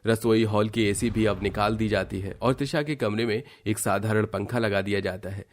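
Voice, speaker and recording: A man giving scripted narration for an animated family story. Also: fairly low pitch, normal speed.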